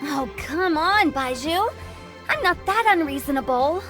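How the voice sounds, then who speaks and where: A woman's voice: sits high, animated, unhurried, music in the background. A woman voicing a spirited, shrewd young female funeral director in a video game. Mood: probing.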